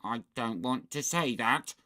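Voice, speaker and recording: A narrator voicing a timid, tattling little boy with plaintive, drawn-out protests in a children's storybook. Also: mid-range voice, sharp tone. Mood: whiny, plaintive.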